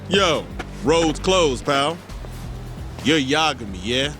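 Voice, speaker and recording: Taunting yakuza thug, swinging wildly in pitch. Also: male voice, animated, slow, music in the background. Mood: cocky menace. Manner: taunting, swearing, slangy. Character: hot-headed male yakuza thug, cocky and threatening. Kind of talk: video game cutscene acting.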